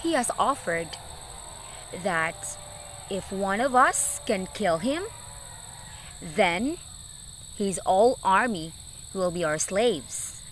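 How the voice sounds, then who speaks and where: Woman as alarmed young soldier, loud and emphatic. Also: mid-range voice, expressive, slow pace, long pauses, slight background noise, poor audio. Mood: fearful, urgent. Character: frightened, awed soldier brothers warning of a giant.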